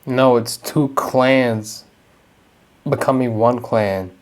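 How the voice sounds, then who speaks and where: Blunt, sarcastic deep-voiced woman. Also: extremely slow. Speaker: gaming video creator. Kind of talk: casual reaction commentary over gameplay.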